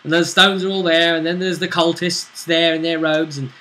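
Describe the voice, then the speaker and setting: A man's voice: a little high, flat delivery, normal speed, sharp tone, slight background noise. A man casually recapping a tabletop role-play session as game master, rambling as he explains it.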